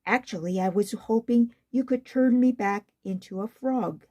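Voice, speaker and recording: A woman's voice: fairly high pitch, normal speed, a little mellow, natural voice. A woman voicing a polite, earnest young storybook prince with a slightly flustered manner. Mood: pleading, flustered.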